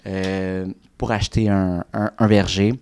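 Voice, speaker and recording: A man in his thirties with a Quebec French accent, a real estate broker chatting casually as a podcast guest, often restarting his sentences and filling pauses. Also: low-pitched, flat delivery, a little mellow, smooth. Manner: rambling, many restarts and fillers.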